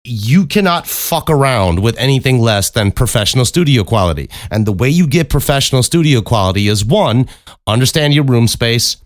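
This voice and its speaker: A man with a deep voice hosts a casual solo podcast, giving advice in a blunt, emphatic way with plenty of swearing, close on a studio microphone. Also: animated, normal speed, no room sound. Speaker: podcast host and voice actor. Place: acoustically treated home recording room. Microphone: close studio condenser microphone.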